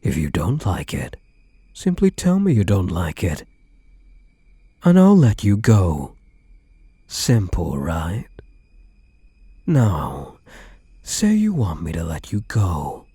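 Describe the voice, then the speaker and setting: A man's voice: low-pitched, huge pitch swings, takes it slowly, pauses often, dark tone, slightly rough, dry recording, heavily acted. A man playing a possessive, menacing kidnapper groom in an ASMR roleplay, taunting his captive with smug, mock-tender endearments. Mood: gloating, possessive. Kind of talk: ASMR roleplay audio drama.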